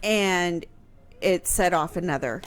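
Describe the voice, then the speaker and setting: A woman's voice: on the low side, takes it slowly, faint music behind. A woman explaining history in casual conversation on a radio show, piecing her sentences together hesitantly. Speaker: history show host answering questions.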